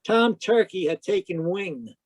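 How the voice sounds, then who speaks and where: A man's voice: high-pitched, expressive, a little slow. An actor playing a wry, inquisitive male private detective in a radio drama, telling his story in hard-boiled style. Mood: wry, narrating. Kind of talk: radio detective drama, first-person narration and dialogue. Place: live remote performance over video call.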